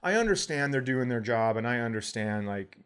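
A man's voice: medium pitch, lively intonation, a little fast, a bit bright, smooth. A man telling stories in a casual comedic way as a podcast host, sarcastic and swearing freely.

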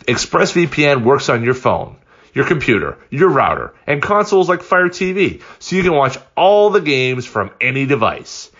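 Lively male podcast host reading an ad. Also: medium pitch, moderate pace, highs cut off. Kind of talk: conversational scripted ad read.

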